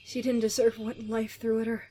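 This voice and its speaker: A woman playing a guarded, sickly young survivor of cruel experiments in an audio drama, speaking in a wry, measured, confiding way. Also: fairly high pitch, little pitch movement, mellow, slight background noise, natural voice. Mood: somber, resolute.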